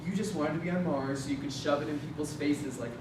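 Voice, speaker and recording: Man playing sarcastic, bitter young space maintenance worker, stage reading, confrontational and slangy, heavy echo, noisy rumble behind. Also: steady tone, fast talker, lightly acted. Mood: accusing, bitter. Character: brash, resentful, foul-mouthed young male space maintenance worker. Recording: very echoey room, distant mic, noisy rumble.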